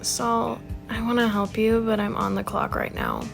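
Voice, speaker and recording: The bored young clerk behind a mall register in an audio drama, deadpan and crackly with fry a woman acts it, music underneath. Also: on the high side, normal speed. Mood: dry, bored. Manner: dull, dry, deadpan. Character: dry, unimpressed, cranky young female store clerk. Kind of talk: fan dub audio drama acting.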